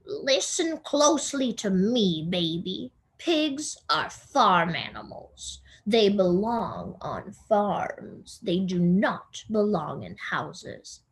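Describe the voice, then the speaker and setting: A woman puts on a stern older sister from a children's storybook. She is opinionated and bossy, scolding in emphatic, slightly husky phrases as someone sure she is always right. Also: medium pitch, sing-song, slow pace, few pauses, heavily acted. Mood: lecturing.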